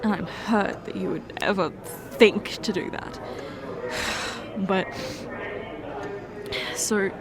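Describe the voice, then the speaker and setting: A young woman performing an emotional roleplay confession, halting and tearful, with many sighs and restarts. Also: mid-range voice, steady tone, a crowd in the background. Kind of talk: emotional ASMR fiancée roleplay monologue.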